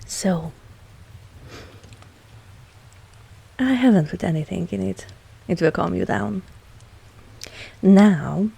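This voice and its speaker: A woman playing a dominant, seductive vampire mistress in an intimate ASMR roleplay, speaking softly and with measured, coolly protective authority. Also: fairly low pitch, vocal fry, husky, exaggerated voice. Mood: protective, reassuring.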